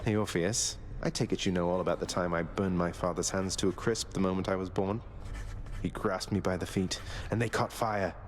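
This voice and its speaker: An actor playing a wry, rebellious young prince of the Underworld in a video game. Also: male voice, sits low, steady tone, a little fast, slight background noise, lightly acted.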